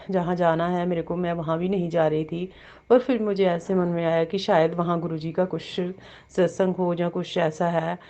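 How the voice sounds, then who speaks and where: A woman with a sore throat sharing a devotional testimony, halting with many fillers and repeated blessings. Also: fairly low pitch, mellow, muffled recording. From Jammu.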